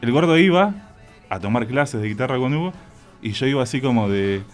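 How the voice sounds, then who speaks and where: A man's voice: medium pitch, animated, a little fast, soft background music. A man, joking and telling stories on a radio show, laughing often. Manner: playful, storytelling, laughs often. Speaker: band member guest. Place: radio studio.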